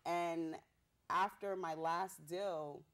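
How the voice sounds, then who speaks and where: A young woman rapper from Haiti, a Haitian Creole speaker, telling her story in a bubbly, animated way with street slang. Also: on the low side, drawn-out words, a little hiss or hum. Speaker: rapper guest. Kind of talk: casual interview.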